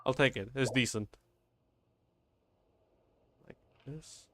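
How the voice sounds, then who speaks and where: A man's voice: medium pitch, takes it slowly, fairly bright tone, faint music behind. A man livestreaming a game, chatting casually and informally, often thinking aloud and repeating his phrases. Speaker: game streamer. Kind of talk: casual livestream gameplay chat.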